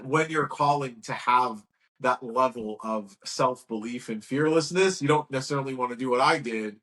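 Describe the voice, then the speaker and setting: A man's voice: mid-range voice, normal speed. Frank, rambling man telling stories. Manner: rambling, frank, lively storytelling with acted quotes. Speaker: guest, agency owner. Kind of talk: casual interview talk.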